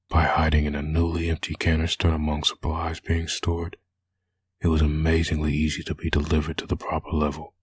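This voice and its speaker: A man playing a brooding undead antihero full of hatred in a comic dub, with a gravelly rasp and a grim monologue delivery. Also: very deep voice. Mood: grim, resigned. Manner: grim, slow internal monologue. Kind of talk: comic dub dramatic narration.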